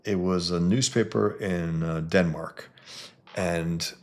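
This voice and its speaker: A cartoonist from the Bronx, talking casually to followers on a livestream, with many fillers and restarts. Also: male voice, low-pitched, fairly even intonation, slow. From the Bronx, New York. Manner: greets viewers mid-talk.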